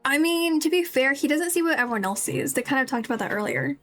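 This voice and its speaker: Chatty high-pitched woman streaming a game. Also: animated, fast talker, a bit bright. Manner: chatty, rambling to viewers. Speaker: livestreamer playing a video game. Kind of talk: casual game stream commentary. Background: game music.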